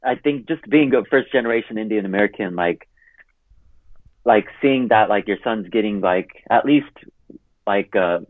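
A man about to turn thirty, from California, rambles with a steady stream of fillers as a podcast guest. His voice sits a little low and comes through a tinny phone line, dry and close. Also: normal speed, no echo. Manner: rambling, heavy use of "like". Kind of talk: casual interview chat.

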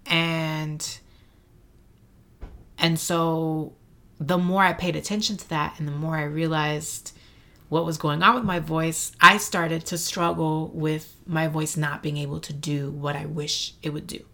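Slow, gravelly-voiced woman guest. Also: sits low, fairly even intonation, pushed and bright. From Mexico City and San Antonio, Texas. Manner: thoughtful, searching for words, frequent um and like. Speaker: interview guest. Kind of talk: reflective interview conversation.

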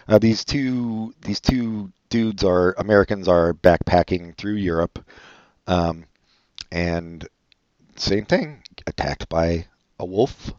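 A man with a very low voice chats casually about an old film, dry and offhand, letting his sentences trail away. The sound is dead and close, with a slightly dull, muffled edge. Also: takes it slowly, a little mellow, dry recording. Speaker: podcast co-host. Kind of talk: casual movie chat.

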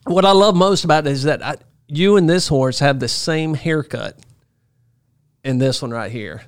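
A man's voice: on the high side, moderate pace, clean tone. A man hosting an interview, asking friendly, curious questions in a casual conversation. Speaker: host.

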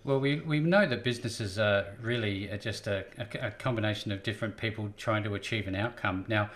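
A man hosts a business podcast in a brisk, upbeat promotional way, with a fairly low voice. He puts his questions to a guest over a call. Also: fairly bright tone. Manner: upbeat, fast promotional delivery with light laughs. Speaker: podcast host. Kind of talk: scripted show intro then interview questions.

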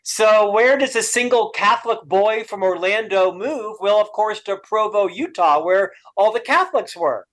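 A man's voice: high-pitched, animated, moderate pace, hardly any pauses, a bit bright. A middle-aged man from Florida with a stutter, speaking as an interview guest and author with upbeat, self-deprecating humor. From Florida, USA. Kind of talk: interview conversation.

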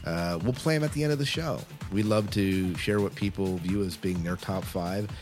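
A very low-pitched man hosts a casual podcast. He talks informally and wordily, explaining as he goes. Music plays clearly behind his voice. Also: normal speed. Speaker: podcast host. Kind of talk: casual chatty podcast hosting. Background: loud music bed.

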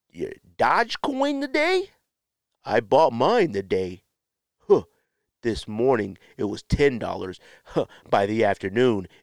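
A man with a fairly low voice hosts a solo podcast. He delivers an opinionated rant, blunt and exasperated, leaning on emphatic rhetorical questions. The sound is dry, with hardly any room to it. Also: no echo. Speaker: podcast host.

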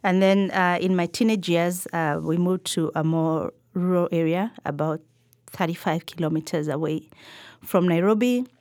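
Middle-aged woman from kenya, lowish voice, calm and thoughtful, dry close sound. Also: no room sound. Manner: thoughtful, measured, with fillers. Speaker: podcast guest. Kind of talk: reflective interview answers.

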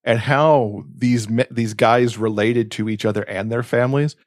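A man's voice: mid-range voice, normal speed, no echo. Man gushing casually with a dry, close sound. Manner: effusive, emphatic. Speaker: podcast co-host recommending books. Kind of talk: casual enthusiastic book chat.

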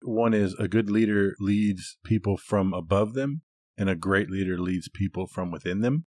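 Man, podcast co-host, deep voice, dry recording, measured, reflective, conversational. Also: a little mellow, no room sound. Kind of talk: casual, reflective discussion.

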